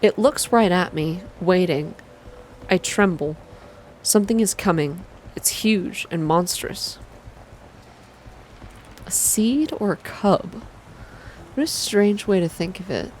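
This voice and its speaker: Low-pitched woman reading softly and calmly. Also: a little hiss or hum, low-quality recording. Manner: calm, slow, hushed. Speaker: reader of a visual novel for an online channel. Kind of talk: soft ASMR-style narrated reading.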